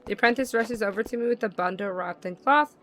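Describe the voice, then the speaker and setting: A woman's voice: fairly high pitch, fast, a bit bright. A woman livestreamer reading visual novel narration aloud and reacting in a playful, slangy way. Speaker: livestream gamer.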